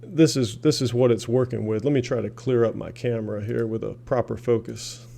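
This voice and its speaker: A plain-spoken man walks viewers through his hobby equipment. His voice sits at a middle pitch. He talks casually and wanders from point to point like an amateur reviewer. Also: steady tone, fairly quick, vocal fry, warm tone, a little hiss or hum. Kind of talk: casual product demonstration.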